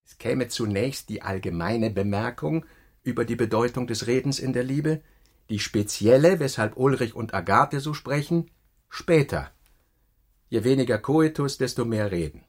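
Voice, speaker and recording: An actor playing a brooding, self-critical male novelist reading his working notes in a deliberate, fragmentary way for a radio play. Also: mid-range voice, lightly acted. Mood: reflective.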